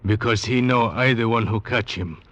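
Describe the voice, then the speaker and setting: A man's voice: fairly low pitch, normal speed, fairly bright tone, no room sound, lightly acted. A man doing a stubborn snake hunter in broken English. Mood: ominous. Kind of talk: 1940s radio acting. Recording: dull old radio recording with faint hiss.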